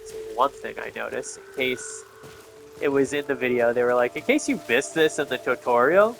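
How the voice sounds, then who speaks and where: A man's voice: mid-range voice, normal speed, gravelly, a bit bright. A man giving casual, off-the-cuff gameplay commentary, thinking aloud and muttering to himself as he plays. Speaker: gameplay streamer. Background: faint game music.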